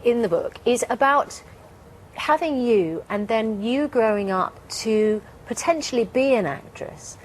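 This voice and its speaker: A woman's voice: a little high, expressive, measured pace, a little hiss or hum, low-quality recording. A woman asking questions in a conversational interview, rephrasing them as she goes.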